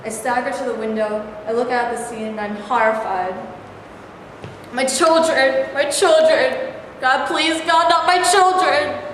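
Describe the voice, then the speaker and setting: A woman's voice: sits high, fairly even intonation, unhurried, fairly bright tone. Anguished Japanese mother, acted by a woman, echoing hall. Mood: anguished, outraged. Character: anguished wounded Japanese mother, horrified and defiant. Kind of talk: staged reading of a stage play. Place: reverberant indoor room at a staged reading. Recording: echoey hall with clear background noise.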